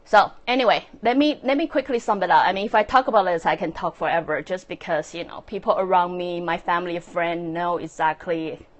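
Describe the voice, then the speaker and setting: A woman with a Chinese accent, speaking English as a second language, hosting a casual, earnest solo talk with many fillers and soft laughs. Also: fairly low pitch, a little fast, hardly any pauses, muffled recording. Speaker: podcast host and author.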